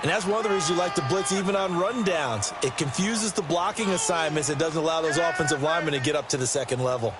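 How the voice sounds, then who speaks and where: A man's voice: a little high, fast talker, hardly any pauses, over loud music, over a noisy crowd, close to an everyday voice. A man with an American accent playing a confident ex-player football analyst in a video game, explaining the game in a folksy, conversational way. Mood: assured, analytical. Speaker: color commentator. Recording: game audio under loud stadium noise.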